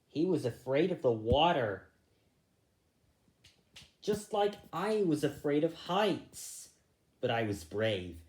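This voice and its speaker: A story reader narrating a storybook in an animated, deliberate way. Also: medium pitch. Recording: roomy echo.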